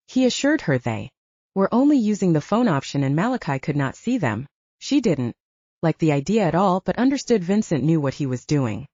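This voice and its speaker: Lady reading a novel, soft mellow voice, lots of pitch movement, slightly muffled dry audio. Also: no room sound. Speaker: audiobook narrator. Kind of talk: scripted fiction narration.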